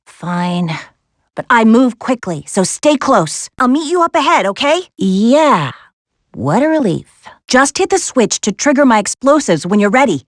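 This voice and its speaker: A woman voicing a spirited, capable young woman adventurer in an animated video game, with an American accent and a wry, sassy, instructive way of talking. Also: fairly high pitch, no room sound, clean studio sound, close to an everyday voice. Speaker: video game character voice actress.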